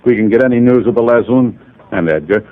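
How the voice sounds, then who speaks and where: A man's voice: mid-range voice, fast talker, natural voice. An actor playing a stiff, indignant, older businessman in a 1950s radio drama. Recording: tinny old radio broadcast.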